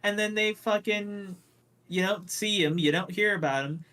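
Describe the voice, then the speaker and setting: Higher-pitched man chatting casually, opinionated. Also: moderate pace, sharp tone. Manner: opinionated, swears freely. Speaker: podcast co-host. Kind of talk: casual pop culture chat.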